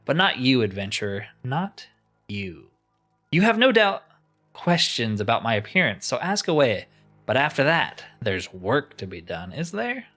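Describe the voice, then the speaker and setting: A haughty ancient enchanter living as a crystal, put on by a man reading a game aloud. He is pompous and theatrical, with a mid-pitched voice that moves up and down a lot. Music plays softly behind. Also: big pitch swings, measured pace. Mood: grand, welcoming. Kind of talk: reading video game dialogue aloud in character.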